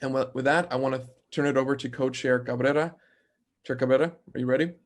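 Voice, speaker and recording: A man's voice: mid-range voice, steady tone, quick pace. A man co-chairing a city council committee hearing who thanks the staff and hands the floor to the co-chair. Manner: hands the floor to the next speaker. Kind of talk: formal meeting procedure. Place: remote hearing from home or office.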